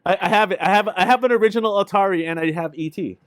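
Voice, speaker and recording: A man hosts a casual livestream. His voice sits on the higher side and is recorded very dry, with no room sound. He talks informally, with slang and repeated phrases. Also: very fast, dry recording. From Philippines. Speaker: podcast host. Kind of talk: casual livestream panel chat.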